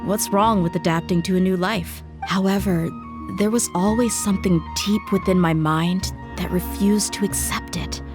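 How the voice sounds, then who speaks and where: An actress voicing a tough, reflective female mercenary in a video game, speaking calmly and candidly. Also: on the low side, close to an everyday voice.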